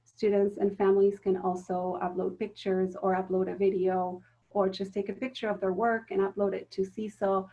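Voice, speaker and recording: A woman's voice: mid-range voice, level tone, moderate pace, warm tone, lo-fi sound. A woman giving a recorded talk to teachers, explaining step by step with frequent ums and self-corrections. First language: Spanish. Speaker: teacher trainer.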